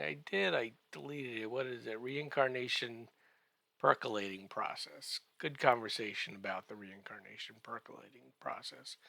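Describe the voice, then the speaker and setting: A man giving a comedic podcast recap in a halting, drawn-out way, full of wry joking asides. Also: medium pitch, vocal fry, bright, forceful tone, dry recording.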